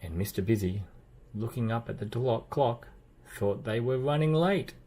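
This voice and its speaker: A man reading a children's storybook aloud as narrator, with expressive delivery and playful emphasis. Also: mid-range voice, normal speed, a little hiss or hum. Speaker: children's book reader.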